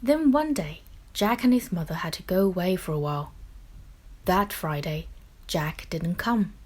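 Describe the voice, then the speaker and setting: Lady reading a kids picture book out loud, really slow n expressive, breathy husky voice. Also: on the low side. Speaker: children's story reader. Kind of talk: expressive storybook narration.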